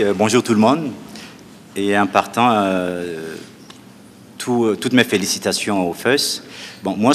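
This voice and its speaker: Low-voiced man from Chad, hall echo. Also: a bit bright. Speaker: municipal candidate. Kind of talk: debate opening statement. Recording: reverberant hall with faint noise.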